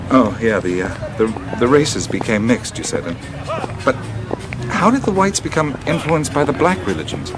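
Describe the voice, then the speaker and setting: A man's voice: mid-range voice, animated, moderate pace, close to an everyday voice. A man acting in a radio play as a curious, easygoing male traveller, speaking in a hesitant, musing, conversational way. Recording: music and crowd ambience behind. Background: music, outdoor crowd.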